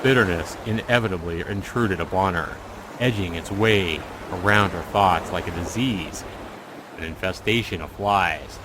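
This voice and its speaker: A man reading a story aloud as a streamer, in expressive narration with casual asides. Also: low voice, normal speed, background noise. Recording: music playing behind the voice. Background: music.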